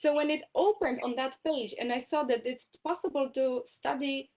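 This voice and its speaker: A woman's voice: high voice, steady tone, normal speed, noisy background. Woman on a tinny video call. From Poland. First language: German. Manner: chatty, self-correcting, laughs easily. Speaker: guest speaker. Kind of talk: webinar talk.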